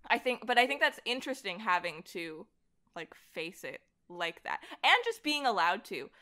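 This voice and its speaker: A woman chatting casually as an interview guest, animated, giggly and self-deprecating about herself. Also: mid-range voice, normal speed. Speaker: podcast guest, voice actor and director. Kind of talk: casual interview chat about comics and horror.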